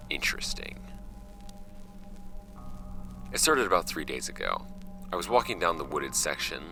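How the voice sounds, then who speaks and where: Very deep, gravelly, wry podcaster. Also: male voice, exaggerated voice. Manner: wry, offhand, halting when ad-libbing, measured when reading. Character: flippant young man recording an amateur podcast, reading his late uncle's files. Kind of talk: audio drama monologue as homemade podcast narration.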